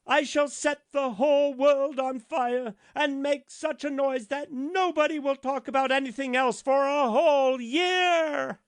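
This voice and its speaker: A vain, grandiose rocket from a storybook, boasting in a high, lifted voice, as a man puts him on. He talks down to everyone, swooping up in pitch. The sound is dry and close. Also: very animated, slow pace, dry recording, heavily acted. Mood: boastful. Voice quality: grandiose, self-important. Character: pompous conceited male firework rocket. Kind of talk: storybook reading.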